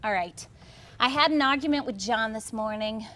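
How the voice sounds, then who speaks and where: An actress playing a chatty, wistful, put-upon 1950s housewife in a staged play reading, with an animated, expressive delivery. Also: female voice, fairly high pitch, normal speed, slight background noise. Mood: sheepish. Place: theatre stage.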